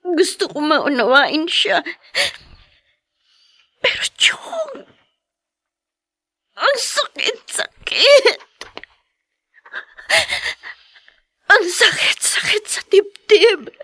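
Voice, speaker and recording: A heartbroken Filipino daughter in a radio drama. Her voice is extremely high and bright, swinging widely as she pleads through tears, resentful and hurt. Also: big pitch swings, drawn-out words, pauses often, no room sound, clean studio sound, exaggerated voice. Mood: crying, grieving. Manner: tearful, emotional, pleading. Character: grieving, resentful daughter hurt by father's remarriage.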